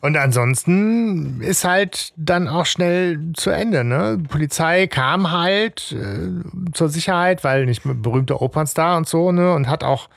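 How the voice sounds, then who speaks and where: A man's voice: on the high side, expressive, measured pace, vocal fry, few pauses, no echo, studio quality. A man hosting a fan podcast who recaps the facts of a radio-play case in casual chat, often tagging his sentences with "ne?". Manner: recaps plot facts with frequent "ne?" and "äh". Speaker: podcast host.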